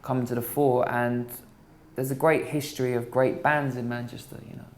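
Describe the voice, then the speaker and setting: A singer-songwriter talking casually in an interview. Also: male voice, mid-range voice, little pitch movement, moderate pace, darkish tone. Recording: music in background.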